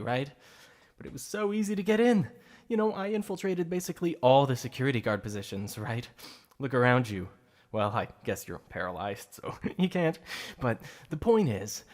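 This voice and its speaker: A man's voice: mid-range voice, close to an everyday voice. A man performing a boastful, playful supervillain for an ASMR roleplay, with a secret obsession behind the show. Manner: showy, mocking, playful.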